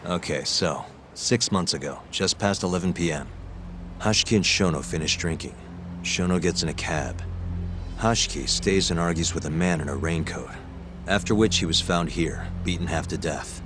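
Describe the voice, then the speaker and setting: A man playing a composed, sharp young private detective, speaking in a measured, probing and dry way in a game cutscene. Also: low voice, noisy background, natural voice. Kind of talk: video game cutscene acting.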